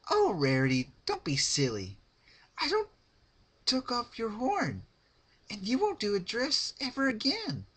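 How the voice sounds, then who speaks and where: A man's voice: extremely high pitch, wildly swooping pitch, measured pace, a bit bright, breathy edge, voice pushed up, full character voice. A man voicing a bubbly, childish, sadistic female cartoon pony with a sing-song, taunting manner.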